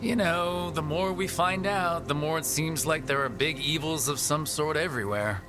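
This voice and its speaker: A man's voice: a little high, normal speed, over music, close to an everyday voice. A male actor playing an easygoing, supportive party leader in a video game, casual and a little wry.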